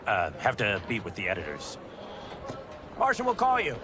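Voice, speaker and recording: Older movie director, evasive, lowish voice, noisy set behind, game cutscene. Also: male voice, wildly swooping pitch, normal speed. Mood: awkward, evasive. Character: older, reluctant, evasive male film director. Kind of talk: cinematic video game acting.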